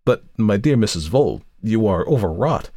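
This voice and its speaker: A man voicing an earnest, careful male solicitor in an audiobook, speaking politely and with measured concern. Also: fairly low pitch, animated, mellow, dry recording, studio quality, voice pushed up. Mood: hesitant, uneasy. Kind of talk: audiobook character voice.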